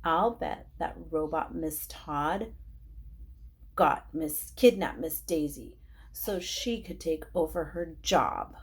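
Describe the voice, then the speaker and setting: A woman's voice: low-pitched, takes it slowly, slightly rough, full character voice. A woman voicing an earnest, plotting schoolboy amateur detective in a children's storybook. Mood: suspicious.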